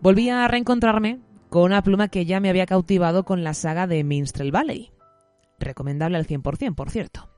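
A woman's voice: mid-range voice, darkish tone, dry recording. Lady from doing a book podcast, effusive delivery, music behind her. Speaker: literary podcast host. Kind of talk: semi-scripted book review podcast.

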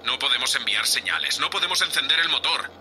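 A testy spaceship captain acted by a man from a Spanish sci-fi audio drama, with a Spain accent. He is curt, scolding and exasperated, with a mid-pitched, very forceful and sharp delivery. There is light ambient noise around him. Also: animated, fast talker. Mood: exasperated, grim. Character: gruff, foul-mouthed, irritable male spaceship captain.

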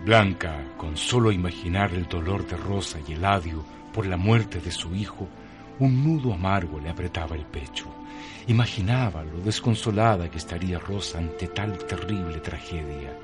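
Deep guy narrating a radio story, music behind him. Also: normal speed. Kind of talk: scripted dramatic story narration. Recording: clear music under the voice.

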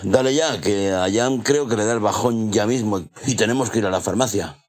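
A man's voice: lowish voice, fairly quick, music in the background, natural voice. A man playing an easygoing young punk songwriter in an audio drama, talking in casual slang. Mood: impatient. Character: easygoing young male punk musician, pot-smoking songwriter. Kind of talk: audio drama with slangy banter and bits of singing.

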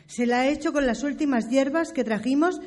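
A woman's voice: high voice, brisk, a little mellow, lightly acted. A woman playing a dutiful young maidservant in a radio drama, deferential and attentive to her master.

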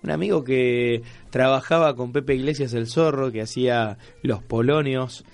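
A man's voice: medium pitch, moderate pace. A man with an Argentine Rioplatense accent hosting an informal live radio magazine, teasing his colleagues and often repeating his phrases. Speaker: radio show host. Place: radio studio.

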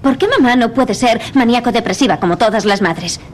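A woman's voice: fairly high pitch, a little fast, close to an everyday voice. Brazen, sarcastic teenage girl played by a woman. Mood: sullen sarcasm. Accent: Spain. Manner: sarcastic, provocative. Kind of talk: dubbed TV drama dialogue. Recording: noisy clip from a TV show.

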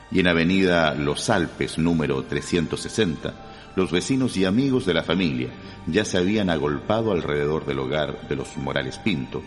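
Low male voice, Chilean accent, radio crime drama narrator, solemn, long reverb. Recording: long reverb, music underneath.

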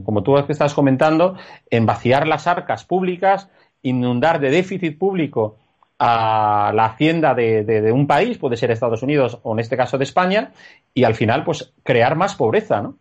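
Man from Spain, dull lo-fi sound. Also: medium pitch. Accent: Peninsular Spanish. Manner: discursive, rambling, with fillers. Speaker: interviewer. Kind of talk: political interview.

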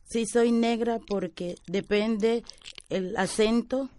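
Mellow-voiced woman, reflective. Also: on the high side, steady tone, takes it slowly, no room sound. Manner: reflective, circling back on the same phrases. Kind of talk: interview testimony.

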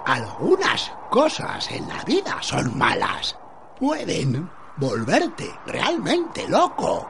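A man's voice: a little high, huge pitch swings, slow, vocal fry. A man giving a theatrical spoken rendering of comic lyrics, with exaggerated dramatic delivery. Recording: very noisy, loud ambience.

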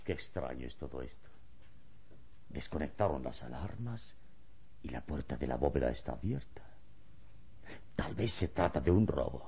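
An actor playing a calm, wise adventure hero in an old radio serial, speaking with measured, solemn deliberation. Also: male voice, very deep voice, husky, highs cut off, full character voice. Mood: wary.

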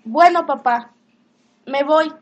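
High-voiced young daughter played by a woman. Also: slow pace, muffled recording. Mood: shy, excited. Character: bashful, affectionate young daughter in love. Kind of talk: comic radio play acting.

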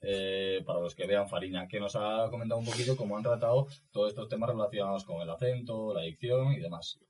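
A man's voice: lowish voice, fast, darkish tone, a little hiss or hum. A man hosting a radio show in casual studio chat.

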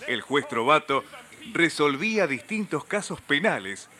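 A man's voice: on the high side, sing-song, a little slow, sharp tone, faint music behind, heavily acted. A man acting a solemn, formal, melodramatic narrator and official in an amateur radio play, declaiming a script. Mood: solemn, dramatic.

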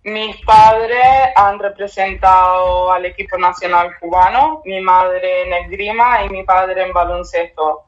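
A woman's voice: on the low side, steady tone, measured pace, hardly any pauses. A young woman, born in Cuba and raised in Spain, answering interview questions in a chatty, casual way and laughing often. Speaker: guest volleyball player. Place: remote call from abroad. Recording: dull lo-fi remote line.

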